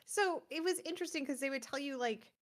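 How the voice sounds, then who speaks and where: A woman's voice: sits high, quick pace. A woman co-hosting a games show, moving between casual chat and scripted review narration. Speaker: show co-host and game reviewer.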